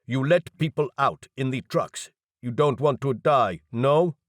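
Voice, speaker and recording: A man's voice: medium pitch, expressive, unhurried, no echo, pitched above the performer's own voice, lightly acted. A man voicing a stern male bodyguard who talks in a clipped, punchy way, recorded dry and close. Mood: warning. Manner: clipped, forceful.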